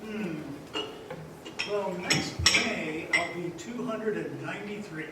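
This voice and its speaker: A man playing a gentle, innocent, very old little angel in a stage radio play, earnest and patient. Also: high voice, takes it slowly. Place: live stage in a large echoing hall. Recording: echoey hall from far off, noisy.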